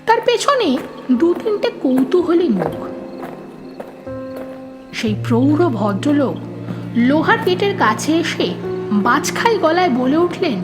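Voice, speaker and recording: A woman narrator reading a scripted horror story in an expressive, suspenseful, dramatic storytelling manner. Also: sits high, slow pace, long pauses, music in the background.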